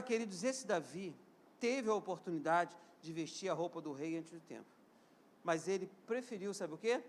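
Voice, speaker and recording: A Brazilian pastor in his fifties with a Rio de Janeiro accent and a strikingly high voice for a man. He preaches in call-and-response, asking the congregation to repeat lines and answer amen. His delivery climbs to loud, emphatic peaks and then drops back to quieter stretches. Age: 50s. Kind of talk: live church sermon.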